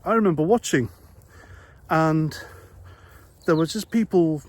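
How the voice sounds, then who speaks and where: A man's voice: a little high, a little slow. A man from Wales with a Welsh accent, an ex-army vlogger giving a blunt, conversational opinion monologue full of rhetorical questions.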